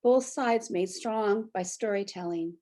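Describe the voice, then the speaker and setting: A woman in her sixties from Canada, a poet giving a short spoken introduction and then reading her poem aloud in a reflective, measured way. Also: medium pitch, mellow. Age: 60s. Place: near the Fraser River, British Columbia.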